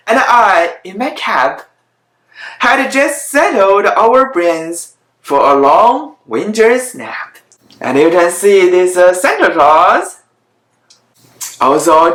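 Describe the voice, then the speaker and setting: A storyteller with a mid-range voice reads a children's story aloud at an unhurried pace. The delivery is halting and deliberate, with plain off-the-cuff commentary. The recording is roomy and echoing. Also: medium pitch, a bit bright. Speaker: host reading children's stories aloud. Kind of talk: read-aloud of children's Christmas stories with off-the-cuff asides.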